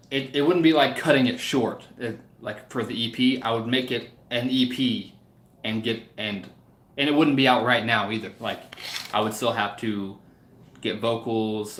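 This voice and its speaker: A man's voice: mid-range voice, a bit bright. Man, livestream host, casual chat, hesitant and rambling, echoey room recording. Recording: roomy, echoing room sound.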